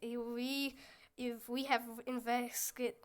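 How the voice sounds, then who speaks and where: High, youthful-voiced woman gaming. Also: level tone, slow pace, clean tone. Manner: chatty and goofy, with squealing outbursts. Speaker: gameplay streamer. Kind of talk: casual gameplay commentary, reading game dialogue aloud.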